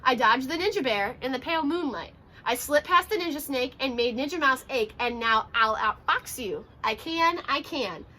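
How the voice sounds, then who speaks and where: A woman's voice: high-pitched, lively intonation, moderate pace, pushed and bright, slight background noise. A woman voicing a boastful, teasing little gingerbread ninja in a storybook, chanting taunts in sing-song rhyme. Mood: boastful, taunting. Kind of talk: children's storybook read-aloud.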